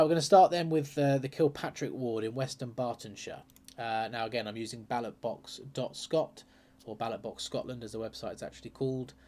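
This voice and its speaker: Man, lowish voice, croaky from a cold, casual hesitant talk, ums and uhs, faint hum. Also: darkish tone. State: croaky with a sore throat and cold. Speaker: political commentary channel host. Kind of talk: casual talk-through of election data.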